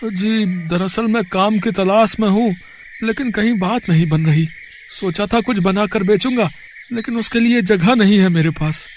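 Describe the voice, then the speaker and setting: Man acting a humble, high-voiced village husband. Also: moderate pace, slight background noise, lightly acted. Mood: hesitant, humble. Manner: hesitant, pleading, respectful. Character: humble, earnest poor village husband seeking work. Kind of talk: acted cartoon story dialogue.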